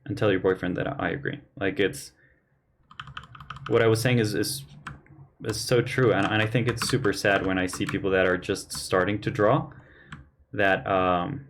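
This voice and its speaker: A man hosting a painting livestream, slightly hoarse from losing his voice, speaking earnestly and thinking aloud as he works. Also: lowish voice, fairly even intonation, normal speed, pauses often, fairly bright tone, a little hiss or hum. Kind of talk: casual chat while painting. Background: faint keyboard and mouse clicks.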